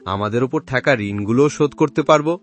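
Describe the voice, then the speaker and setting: A man's voice: medium pitch, fast talker, soft background music, natural voice. A man playing a hopeful, earnest village farmer with debts in a moral cartoon. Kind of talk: Bangla moral cartoon acting.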